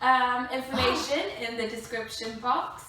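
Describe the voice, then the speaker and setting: A young woman telling stories casually to camera, animated and laughing often. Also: a little high, moderate pace. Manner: giggly, animated retelling. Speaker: bride-to-be co-hosting a couple's video. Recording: echoey room from far off, faint hiss.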